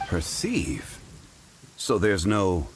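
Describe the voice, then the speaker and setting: A man playing a calm, learned gentleman consultant in a video game, speaking in a measured, formal way. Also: low voice, background noise, heavily acted. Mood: composed, intrigued.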